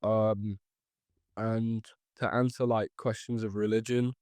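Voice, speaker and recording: A man's voice: fairly low pitch, little pitch movement, slow pace, darkish tone, no room sound, clean studio sound. A young man from Ethiopia and Eritrea, a podcast guest explaining history in casual chat, with many ums and restarts.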